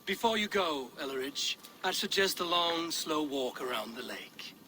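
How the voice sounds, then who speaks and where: An actor playing a stern, steadfast Viking warrior with a measured, dry, commanding manner in a video game. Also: male voice, fairly high pitch, animated, pushed and bright, a little hiss or hum. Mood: calm, measured.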